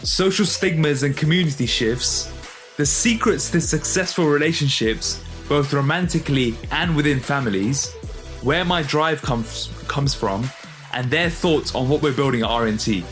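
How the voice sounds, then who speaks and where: A man hosting a podcast episode and interviewing his parents in an upbeat, lively way. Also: fairly high pitch, moderate pace, soft background music. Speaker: podcast host.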